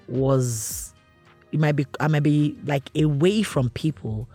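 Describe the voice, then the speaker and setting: A woman from Cross River in Nigeria, speaking earnestly as a guest in an interview, with a loud, carrying voice. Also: low-pitched, takes it slowly, breathy edge, soft background music. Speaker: interview guest, industry veteran. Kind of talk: conversational interview.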